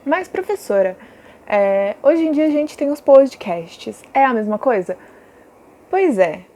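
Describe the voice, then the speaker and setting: A woman's voice: high-pitched, animated, slow, warm tone. A Brazilian woman teaching an informal recorded lesson, addressing pupils directly and reading aloud. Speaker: schoolteacher.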